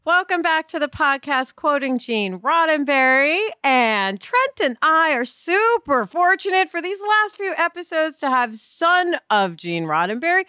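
A woman's voice: high voice, sing-song, unhurried, few pauses, sharp tone, no echo, studio quality. A woman co-hosting a conversational podcast, gushing and emphatic as she talks. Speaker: podcast co-host.

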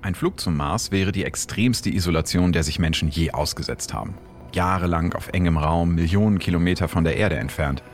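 A man's voice: low voice, fairly quick, faint music behind. A man hosting and narrating a scripted science documentary in German.

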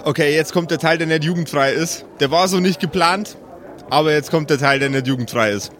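Male game master, slightly high voice, improvised tabletop narration, deliberate dramatic pacing of descriptions. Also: a bit bright.

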